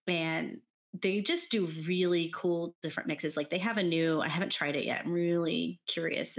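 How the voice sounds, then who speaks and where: A middle-aged Texan woman with a Texan accent talks in an easy, enthusiastic way, her voice sitting a bit low. Lots of ums and small laughs fill her talk, and the sound is a little dull and lo-fi. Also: a bit bright. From Texas. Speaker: podcast guest. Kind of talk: casual chat.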